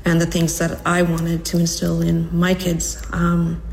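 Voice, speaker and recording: A woman's voice: fairly low pitch, completely flat delivery, measured pace, creaky, darkish tone, slight background noise. A woman from Trinidad speaking reflectively in an interview, with frequent fillers. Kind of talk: interview clip.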